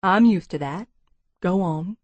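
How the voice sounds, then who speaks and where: A woman voicing an easygoing, wryly amused Texan businessman with a drawling baritone in an audiobook. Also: measured pace, darkish tone, dry recording, dull audio. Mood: wry, brisk. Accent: Texas. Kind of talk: audiobook character dialogue.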